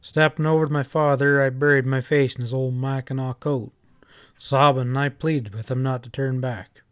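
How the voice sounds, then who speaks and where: A man's voice: medium pitch, steady tone, normal speed, clear, resonant tone, no room sound, studio quality. A man reading a scripted story as an audiobook narrator.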